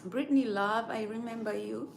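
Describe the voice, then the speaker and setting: A woman's voice: fairly high pitch, moderate pace, gravelly, a little hiss or hum. A woman from East Africa chatting live with viewers, laughing often and teasing their guesses, with a sore throat. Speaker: livestream host. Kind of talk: casual live chat with viewers.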